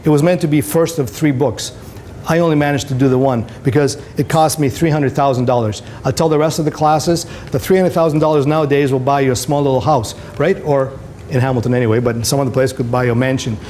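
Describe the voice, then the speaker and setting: A man's voice: medium pitch, steady tone, fast talker, darkish tone. A middle-aged man from Greece, a native Greek speaker, gives an informal, conversational motivational talk to students as a visiting photographer. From Greece, moved to Canada at twelve. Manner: conversational, anecdotal, addresses listeners with tag questions. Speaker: photographer, career day speaker. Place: school classroom, indoors. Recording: noisy room with background hiss.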